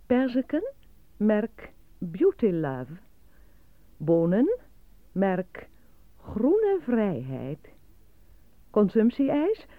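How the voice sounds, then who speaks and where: An actress playing an official radio announcer, reading public warnings in a formal, measured manner. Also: female voice, fairly high pitch, no room sound. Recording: dry, narrow, phone-like radio sound.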